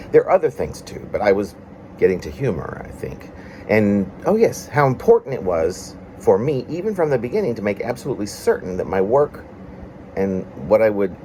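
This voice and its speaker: Male poet, deep voice, animated pitch movement, reflective halting read of own confessional work, faint hum behind. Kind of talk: reading a confessional prose-like poem aloud.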